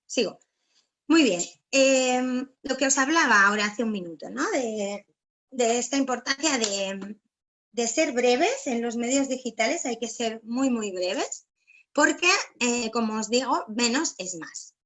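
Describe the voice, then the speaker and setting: A woman's voice: on the high side, a little slow, a bit bright. A woman from Barcelona with a peninsular Spanish accent, presenting an informal online writing workshop as a philologist, explaining things and often checking for agreement with little tag questions. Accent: Spanish from Spain. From Barcelona, Spain.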